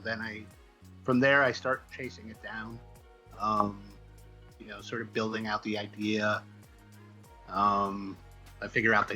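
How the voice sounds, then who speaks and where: A man chatting casually as an interview guest, halting and self-deprecating as he thinks out loud. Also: on the low side, low-quality recording. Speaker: guest, comic book writer.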